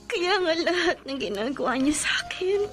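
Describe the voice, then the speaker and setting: A gentle, tearful young daughter-in-law. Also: female voice, high-pitched, expressive, moderate pace, faint music behind. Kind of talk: radio drama acting.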